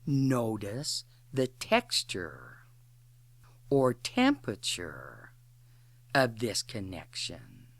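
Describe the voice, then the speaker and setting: A woman leading a guided imagery exercise in an instructional way, speaking in deliberate short phrases with long pauses. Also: extremely low pitch, wildly swooping pitch, no room sound.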